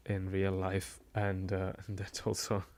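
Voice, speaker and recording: Quiet, slow-spoken man with a gravelly voice. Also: sits low, completely flat delivery. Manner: hesitant, many fillers and restarts. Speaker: streamer and commentator. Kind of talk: casual live-stream chat.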